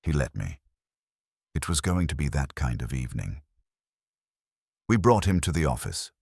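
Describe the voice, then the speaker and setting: Very deep-voiced male mystery narrator. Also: sing-song, moderate pace, gravelly, darkish tone, slightly rough, dry recording, studio quality. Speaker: first-person story narrator. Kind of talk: scripted noir mystery narration.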